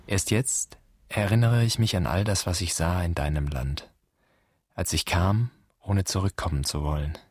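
Low, husky brooding son. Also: male voice, normal speed, dry recording, clean studio sound. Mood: brooding, accusing. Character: brooding, wounded grown son addressing his father's generation. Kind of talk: literary radio play monologue, poetic and measured.

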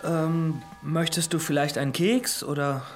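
Nervous, nerdy young student, music behind. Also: male voice, fairly high pitch, fairly even intonation, a little slow. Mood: nervous, awkward. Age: young adult. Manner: hesitant, stammering, awkward. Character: nervous, nerdy young male maths student, socially awkward. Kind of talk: naturalistic radio drama acting.

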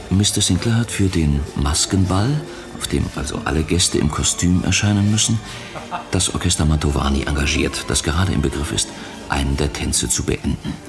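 An actor as a formal narrator, introducing a radio whodunit in measured 1960s German broadcast style. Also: male voice, a bass voice. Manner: measured, formal announcer delivery.

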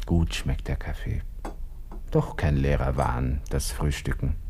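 Deep-voiced brooding Viennese lieutenant. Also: male voice, fairly even intonation, a little slow, vocal fry, darkish tone, slightly rough, exaggerated voice. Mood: restless musing. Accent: Austrian, Viennese. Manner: muttering to himself, shifting abruptly from musing to exclaiming. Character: young Viennese army lieutenant, vain, agitated, brooding on death. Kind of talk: radio drama interior monologue.

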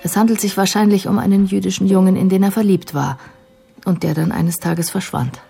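An actress playing a composed female care professional in a radio drama, explaining a patient's case in a measured, analytical way. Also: mid-range voice, fairly even intonation, gravelly, warm tone, faint music behind, close to an everyday voice. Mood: calm, reflective.

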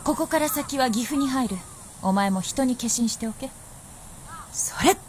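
An actress playing a playful, confident, centuries-old fox princess in a radio drama, with teasing, old-fashioned haughty speech. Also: female voice, high voice, measured pace, heavy background noise. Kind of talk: Japanese radio drama acting.

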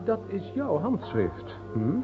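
A sly, power-hungry nobleman in a radio play. His voice is high and swings widely, declaiming orders with sardonic authority. The old recording sounds dull. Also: huge pitch swings, takes it slowly, music in the background, full character voice. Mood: cool, sly. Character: scheming, imperious male nobleman seizing power at court. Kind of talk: theatrical historical radio drama.

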